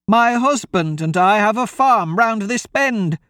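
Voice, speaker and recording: A man's voice: sits high, animated, measured pace, pushed and bright, clear, resonant tone, no room sound, studio quality, voice pushed up, heavily acted. A man voicing a frightened old farm woman in an audiobook, with urgent, folksy, rustic talk. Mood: anxious.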